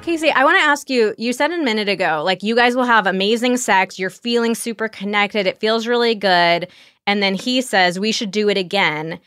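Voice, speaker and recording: A woman's voice: medium pitch, moderate pace, a bit bright, clear, resonant tone. A woman working as a sex therapist, asking probing questions and reflecting feelings back in a conversational session. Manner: probing, affirming, paraphrases the other person's feelings.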